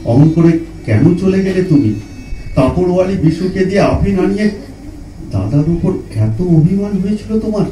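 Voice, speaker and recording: An actor playing a dying old poet in an audio drama, tender and anguished, speaking slowly and haltingly in a poetic manner. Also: male voice, sits high, expressive, mellow. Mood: pleading. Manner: slow, halting, repeats words, poetic. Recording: roomy echo, distant mic, music underneath.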